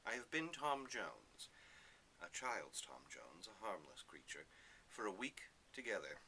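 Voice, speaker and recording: A man giving an expressive literary reading in the first person, with measured, dramatic phrasing. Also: low voice, slight background noise. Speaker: reader of a classic novel excerpt.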